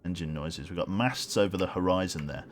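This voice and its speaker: A man streaming a submarine game, calmly giving orders aloud and commenting as he plays. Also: deep voice, fairly quick, a little hiss or hum. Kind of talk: gameplay commentary. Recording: game music loud behind.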